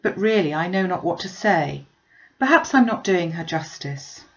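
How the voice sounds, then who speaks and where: An earnest, sharp-minded young gentlewoman from a storybook classic voiced by a woman reader. She argues emphatically with lively rises and falls. The recording is slightly muffled and lo-fi. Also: fairly low pitch, normal speed. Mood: troubled, critical.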